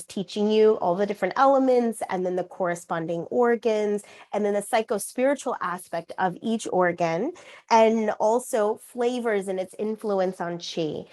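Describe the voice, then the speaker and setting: Earnest woman explaining with many restarts. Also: medium pitch. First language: Korean. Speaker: author and acupuncturist. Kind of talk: casual interview talk.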